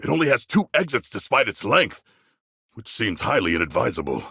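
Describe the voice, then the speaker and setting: An actor playing a huge, solemn shark warrior in a video game, eager for battle, speaking in formal, stilted phrases with a raspy, gravelly voice. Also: male voice, lowish voice, sing-song, fairly quick, bright, forceful tone, no room sound, studio quality, exaggerated voice. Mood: solemn, deadpan. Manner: formal, stilted, grandiose diction without contractions. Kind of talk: video game character voice lines.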